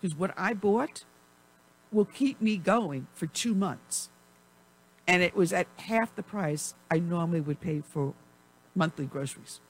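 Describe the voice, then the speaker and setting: A woman hosting a talk radio show, chatting in a rambling way with frequent laughs while her voice is going hoarse. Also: fairly low pitch, measured pace, poor audio. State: losing her voice. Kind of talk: casual talk radio chat.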